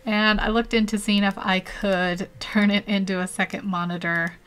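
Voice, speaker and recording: A woman's voice: mid-range voice, flat delivery, moderate pace, fairly bright tone, clean tone. Young woman, art livestream host, chatty and giggly, rambles between steps, desk mic at home. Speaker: art streamer. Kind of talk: casual live-stream tutorial chat.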